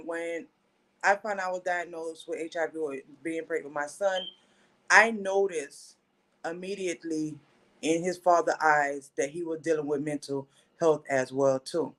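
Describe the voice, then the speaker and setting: Thirties woman, Haitian Creole native, guest telling her life story with earnest pauses. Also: deep voice. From Haitian family, raised in Florida. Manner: earnest, pausing, self-correcting. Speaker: podcast guest. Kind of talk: personal storytelling.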